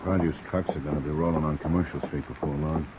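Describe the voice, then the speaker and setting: A steady American police lieutenant speaks in a very low, plain-spoken, commanding way. The old radio crime drama is heavily covered by rain noise. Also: male voice, fast. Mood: planning, steady. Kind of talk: mid-century American radio drama acting.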